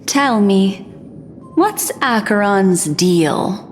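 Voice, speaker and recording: Slow-spoken, enigmatic memory keeper. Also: female voice, mid-range voice, animated, slight background noise. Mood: probing. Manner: measured, polite, probing. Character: composed, enigmatic, probing woman memory keeper. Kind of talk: video game voice acting. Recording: long reverb, music behind. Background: game music.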